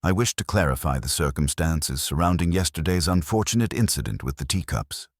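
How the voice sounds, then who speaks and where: Deep-voiced, courteous police inspector, read by a man. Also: expressive, brisk, no room sound, clean studio sound. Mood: courteous, mild. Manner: polite, firm, formal. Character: calm, courteous, watchful male police inspector. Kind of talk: acted dialogue in a narrated mystery story.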